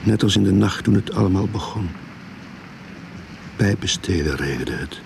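A man's voice: low voice, animated, moderate pace, exaggerated voice. An actor playing a weary, wry young novice detective, narrating his case in a radio play. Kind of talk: radio drama narration and dialogue. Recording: radio play with clear background noise.

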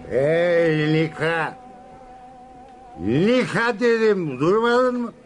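A man's voice: on the high side, extremely slow, faint music behind. An actor in a radio play voicing a belligerent, boastful drunken man with a drawling delivery. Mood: belligerent, demanding. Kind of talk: radio theatre acting.

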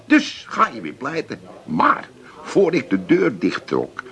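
A small-time crook from a comic radio play. He is cheeky and streetwise, forever grumbling or wheedling. His pitch swings widely in lively, animated swoops. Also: slow, full character voice. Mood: gleeful. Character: cheeky small-time Amsterdam crook, indignant about jail.

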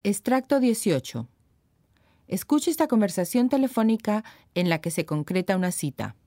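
A woman's voice: mid-range voice, sing-song, moderate pace, warm tone, no room sound, clean studio sound. A woman reading scripted instructions as the narrator of a language course.

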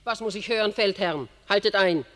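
A woman's voice: mid-range voice, normal speed, fairly bright tone, natural voice. An actress playing an imperious queen in a classic radio play, declaiming verse urgently. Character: imperious queen, pleading and rebuking. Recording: long hall reverb.

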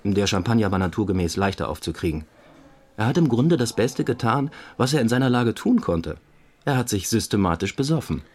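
A man playing a police investigator in an audio drama, reporting in a factual, measured, dry-witted manner. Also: on the low side, animated, darkish tone. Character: matter-of-fact male police investigator giving a case report. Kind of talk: German audio drama dialogue.

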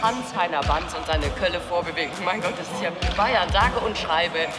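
An actor playing an excited male television commentator on election night in a radio drama. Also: high voice, moderate pace, lightly acted. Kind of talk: breathless live election commentary. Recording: roomy, loud crowd and music. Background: loud crowd and music.

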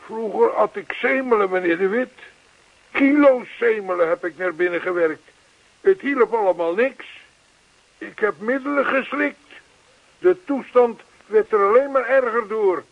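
A chatty older neighbour guy in a radio play, really high creaky voice, keeps earnestly pushing, thin phone-like sound. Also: a little slow, dry recording. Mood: earnest, reminiscing. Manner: persistent, reassuring, long-winded. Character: earnest, chatty older male neighbour zealous about yoga.

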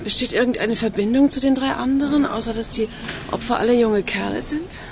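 A woman's voice: a little high, brisk, roomy sound, background noise, close to an everyday voice. An actress playing a dry, sardonic female police detective in a radio play. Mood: questioning.

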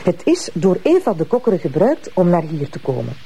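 Sharp-tongued wife, Flemish accent, mellow tone, lively swooping intonation, commanding, TV crime drama. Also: female voice, on the low side, a little fast, slightly rough, soft background music, exaggerated voice. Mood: brisk, explaining. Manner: blunt, teasing, commanding. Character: sharp-tongued, bossy wife of a police inspector, a prosecutor. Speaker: actress in a TV crime series. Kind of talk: naturalistic TV drama acting.

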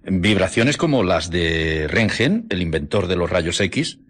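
Educated sceptical confidant, radio drama, lowish husky voice, lively probing intonation. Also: male voice, full character voice. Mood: probing, curious. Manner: deliberate, probing, questioning delivery. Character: curious male confidant, educated, sceptical but fascinated. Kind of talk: Spanish radio drama acting.

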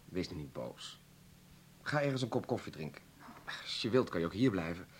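An actor playing a stubborn, evasive hospital surgeon in a radio play, terse and deflecting. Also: male voice, deep voice, big pitch swings, normal speed. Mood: evasive, placating. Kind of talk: Dutch radio play acting. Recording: noisy old radio play recording.

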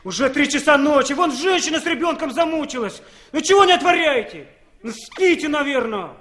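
High-pitched cocky young dandy. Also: male voice, expressive, a little fast, fairly bright tone. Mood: loud, impatient. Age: young adult. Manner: breezy, teasing, boastful. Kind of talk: 1960s Soviet radio play acting.

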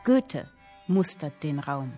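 A woman's voice: deep voice, sing-song, takes it slowly, warm tone, exaggerated voice. Vivid female storyteller over music. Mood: suspenseful. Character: female storyteller reading a vivid historical tale. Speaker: narrator. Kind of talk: dramatic literary narration in a radio play.

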